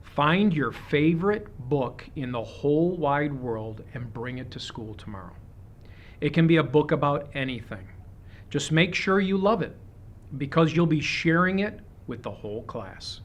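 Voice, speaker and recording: A man reading a children's picture book aloud, with expressive, deliberate phrasing suited to young listeners. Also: a little high. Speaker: storybook reader.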